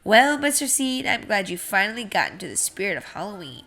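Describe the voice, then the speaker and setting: A woman's voice: medium pitch, sing-song, brisk, sharp tone. A woman voicing a kindly, polite pumpkin seed who gives earnest, reassuring advice in a children's storybook. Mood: warmly pleased. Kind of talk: children's picture book read-aloud.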